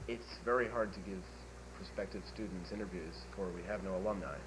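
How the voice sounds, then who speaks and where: A teenage boy playing a college admissions officer in a school TV sketch, answering everything in a flat, matter-of-fact way. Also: deep voice, a little fast, close to an everyday voice. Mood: deadpan. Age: teens. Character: deadpan absurd male college admissions officer. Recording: noisy 1980s school videotape.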